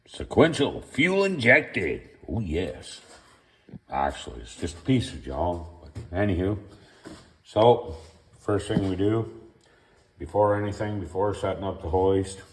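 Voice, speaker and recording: A man's voice: sits low, darkish tone, roomy sound. Folksy man with a sore throat. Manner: rambling, folksy, many uh fillers. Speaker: garage video host. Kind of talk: casual how-to commentary. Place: home garage. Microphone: camera held by his son.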